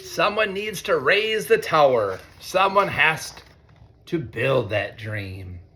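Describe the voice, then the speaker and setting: An American man, a teacher, reads a picture book aloud to children in an expressive way, with conversational asides. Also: on the high side. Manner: expressive, deliberate when reading, conversational in asides. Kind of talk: read-aloud storytelling with chatty asides to children.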